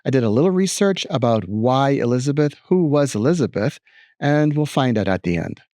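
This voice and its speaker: A middle-aged man reading a picture book aloud as a teacher, adding expressive commentary with deliberate emphasis and wry asides. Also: medium pitch, dry recording, clean studio sound.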